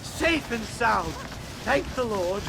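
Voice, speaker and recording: A man's voice: high voice, lively intonation, slow pace, creaky, heavy background noise, exaggerated voice. An actor playing a fussy, flustered professor in a western video game, stammering and florid in his speech. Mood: weary, grateful. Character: jittery pompous male Yale professor, flustered and theatrical.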